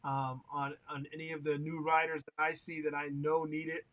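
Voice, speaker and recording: A man's voice: a little high, level tone, normal speed, fairly bright tone, rough recording. A man hosting a casual livestream interview, chatty and laughing often, with lots of filler words. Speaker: show host.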